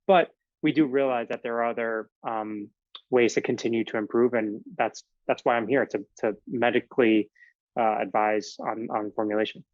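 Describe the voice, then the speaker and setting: A man whose first language is Italian talks as a podcast guest, at a middle pitch, explaining earnestly and piling up fillers and restarts. The sound is dry and a little dull, like a modest lo-fi setup. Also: steady tone, moderate pace, no echo. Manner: earnest, explains in long hedged runs with fillers. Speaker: naturopathic physician guest. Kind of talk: casual podcast interview.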